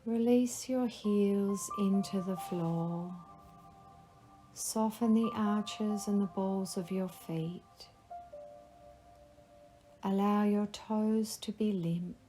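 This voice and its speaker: Female meditation guide, very slow, mellow tone, soft music under. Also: mid-range voice, fairly even intonation. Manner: slow, soothing, instructive. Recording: faint music behind the voice.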